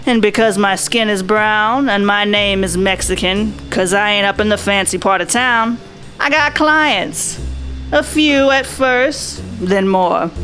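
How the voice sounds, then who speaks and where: Woman doing a tired wry noir private eye, old radio drama style, slangy, slow drawn-out delivery, bit of music behind. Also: mid-range voice, a bit bright, lightly acted. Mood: resolute, world-weary. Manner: wry, folksy, slangy, unhurried. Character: world-weary, wry hard-boiled 1940s private detective, war veteran. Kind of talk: 1940s noir radio narration.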